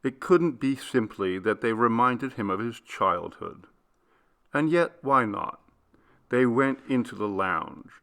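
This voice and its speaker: A man reading a literary story as an audiobook, with measured, deliberate phrasing. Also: medium pitch, expressive, vocal fry, sharp tone. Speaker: audiobook reader.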